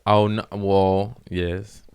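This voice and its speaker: A man with a deep American voice, riffing on a comedy podcast. He rambles in loose slang and jokes his way through each thought, casual and unpolished. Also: flat delivery, very slow, darkish tone, clear, resonant tone, no echo, studio quality.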